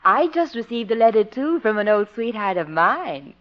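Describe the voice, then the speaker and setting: High-voiced, cooing sweetheart. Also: female voice, very animated, moderate pace. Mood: dreamy, fond. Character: sweet, flirtatious lady sweetheart, a dancing teacher. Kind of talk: 1950s radio sitcom acting. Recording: dull vintage radio recording.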